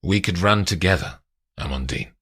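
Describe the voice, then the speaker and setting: A man reading aloud voices a hunted crime boss who speaks in a measured, quietly threatening way. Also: low voice, lively intonation, slightly rough, full character voice. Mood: calm menace. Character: hunted male crime boss, calm and menacing.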